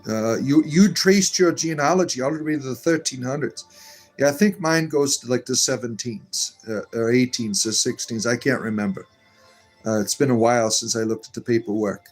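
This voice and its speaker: A man at an ordinary mid pitch hosts a casual live stream, reading viewer comments aloud and answering each one at a wandering, conversational pace. Soft music plays faintly beneath his voice. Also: moderate pace. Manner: reads comments aloud and answers them in a meandering, chatty way.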